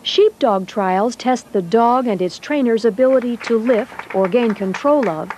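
A woman reading scripted documentary narration in a measured, even way. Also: a little high, a little hiss or hum.

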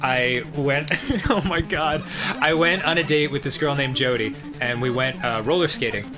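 A man's voice: mid-range voice, moderate pace. A man from New Jersey, co-hosting a gaming show and telling casual stories with lots of laughter. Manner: animated, laughs often, acts out quoted voices. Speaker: co-host. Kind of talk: casual storytelling while gaming. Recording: game music behind. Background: video game music.

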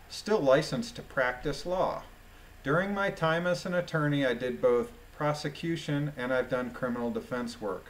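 A man reading a court transcript aloud as questions and answers, deliberately, with informal delivery as a commentator. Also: mid-range voice, poor audio.

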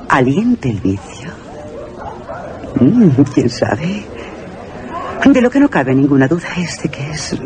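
A gossiping London society lady in a radio play. Her delivery is theatrical and insinuating, swinging widely in pitch, with a faint murmur of people behind. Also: a little mellow, exaggerated voice. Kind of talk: Spanish radio theatre acting.

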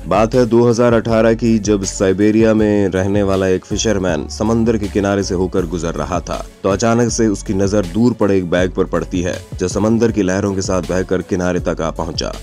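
A man's voice: sits low, steady tone, normal speed, hardly any pauses, dark tone. A man reading scripted narration for an online video, addressing the listener directly as he tells it. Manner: addresses listeners with rhetorical questions. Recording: music under the voice. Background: background music.

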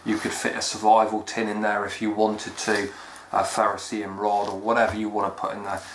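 A man with a low, fairly flat voice talks through a knife review in a relaxed, enthusiastic way. The room is echoey, and a faint hiss of background noise sits behind him. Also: brisk. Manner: plain-spoken, enthusiastic, casual slang. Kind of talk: casual product review. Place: indoors at a wooden table.

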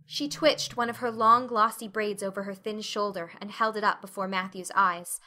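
A woman's voice: medium pitch, steady tone, moderate pace, sharp tone. A woman narrating a novel as a scripted, dramatic audiobook reading.

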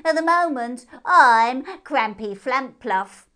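A woman voicing a mad, cheeky, self-important male hare in a children's storybook, speaking in drawn-out exclamations. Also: high voice, animated, a bit bright, clean tone, voice pushed up, full character voice. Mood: smug, casual.

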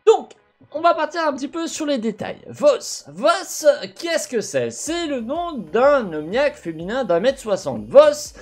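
A man's voice: very high-pitched, measured pace. A young man hosting a gaming video, commenting over gameplay with lots of fillers and restarts, on a headset mic. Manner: animated, talks with his hands, many fillers and restarts. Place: home room with gaming chair.

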